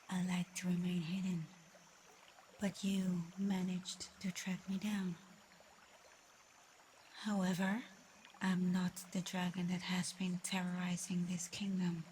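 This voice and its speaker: A woman playing a proud, reclusive female dragon in an ASMR roleplay, menacing yet curious, speaking in a haughty, deliberate, mocking way. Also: lowish voice, flat delivery, darkish tone, slight background noise, close to an everyday voice. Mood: calm, grudgingly impressed.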